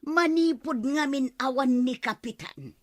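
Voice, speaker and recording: A woman with an Ilocano accent playing a nosy, suspicious village gossip in a radio comedy drama, animated and insistent. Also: high-pitched, a little slow. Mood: suspicious, gossipy.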